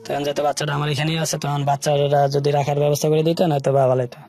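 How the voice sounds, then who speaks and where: A man with a Bangladeshi accent telling his life story as an interview guest, in colloquial, halting short phrases. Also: a little high, flat delivery, a little mellow, no echo, faint music behind.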